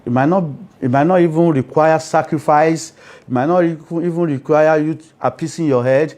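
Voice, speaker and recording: A man with a Nigerian Yoruba accent explains things in a dark, mellow voice. He speaks emphatically, like a priest being interviewed, and keeps checking that his listener follows. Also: a little high, normal speed. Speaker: Ifa priest, interview guest.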